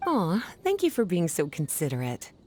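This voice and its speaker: An actress voicing a kindly, poised adult woman in a video game, coaxing and gently teasing in an indulgent, unhurried manner. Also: on the low side, wildly swooping pitch. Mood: kind, reassuring. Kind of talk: animated video game voice acting.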